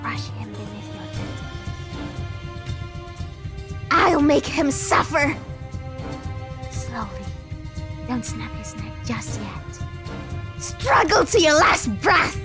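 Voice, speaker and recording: Casting: a vain, self-loathing sea monster, very high piercing female voice, theatrical and vicious, swinging widely. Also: very animated, takes it slowly, pauses often, over music, heavily acted. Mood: sadistic fury. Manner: vicious, theatrical shrieking and gloating. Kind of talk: visual novel dub, melodramatic voice acting.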